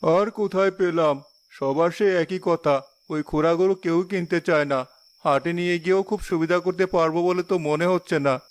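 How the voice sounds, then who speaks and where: A man's voice: fairly high pitch, fairly even intonation, moderate pace, clean tone, lightly acted. A man voicing a worried, despairing middle-aged village father in a cartoon, plaintive and lamenting. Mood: resigned. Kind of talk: Bangla cartoon voice acting. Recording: music behind the voice.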